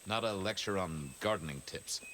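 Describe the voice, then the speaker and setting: An actor playing a sardonic, weary investigator with a wry, offhand delivery in a video game. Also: male voice, low voice, moderate pace, a bit bright, slight background noise. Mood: sardonic, impatient. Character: sardonic, weary male investigator making casual deals. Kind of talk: video game dialogue acting.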